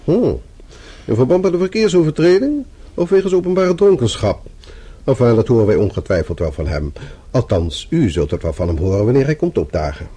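A man's voice: medium pitch, big pitch swings, a little fast, warm tone, slightly rough. A man acting in a radio play as a stern, middle-aged boss who speaks formally with dry sarcasm. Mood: sardonic. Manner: formal, clipped, dryly sarcastic. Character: stern, sardonic middle-aged male boss, fatherly and disapproving.

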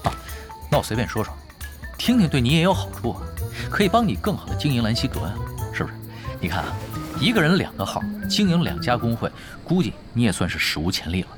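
A man acting in an audio drama as a laid-back, shrewd gamer, nonchalant and smoothly persuasive. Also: medium pitch, wildly swooping pitch, fast talker. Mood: casual, persuading. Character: easygoing, shrewd, laid-back male gamer recruiting helpers for his guild. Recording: studio drama with loud background music.

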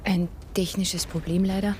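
An actress playing a tense but composed radio presenter held hostage in a radio drama, polite and firm. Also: female voice, on the low side, little pitch movement, moderate pace, darkish tone, slight background noise, close to an everyday voice.

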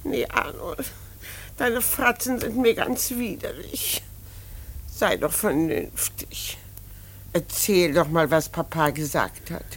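A woman's voice: on the low side, takes it slowly, breathy edge, heavily acted. An actress playing a troubled middle-aged mother in a radio play, lamenting, pleading and scolding. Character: anxious, reproachful mother of a grown son. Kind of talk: classic German radio play acting.

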